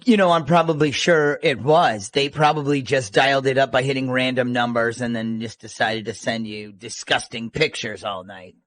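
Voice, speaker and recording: A man's voice: mid-range voice, fairly even intonation, moderate pace, few pauses, a bit bright, no echo, pitched below the performer's own voice, lightly acted. A man playing a polite, businesslike, reassuring police detective on a prank phone call. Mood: reassuring, casual.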